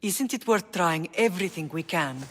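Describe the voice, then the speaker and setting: Low-voiced, solemn mother played by a woman. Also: animated, moderate pace, a little hiss or hum, natural voice. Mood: pleading. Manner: solemn, heartfelt. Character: earnest, yearning mother of Spartan royal bloodline. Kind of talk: dramatic video game acting. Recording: music behind the voice.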